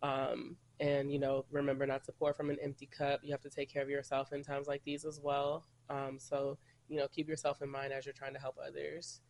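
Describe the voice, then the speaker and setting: A man's voice: mid-range voice, completely flat delivery, brisk, few pauses, a little mellow, slight background noise. A congested man recovering from a cold, hosting a casual solo podcast and rambling in a chatty way. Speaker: podcast host.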